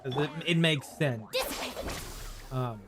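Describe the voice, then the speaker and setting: A man talking casually to viewers as a game streamer, in a halting, conversational way, often repeating himself. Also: medium pitch, a bit bright. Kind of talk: casual live stream chat while gaming. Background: faint game music.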